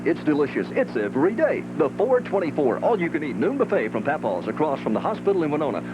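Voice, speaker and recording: Male ad announcer, fairly high pitch, lively swings, loud music bed, old radio tape. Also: rough recording. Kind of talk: scripted upbeat local radio ad.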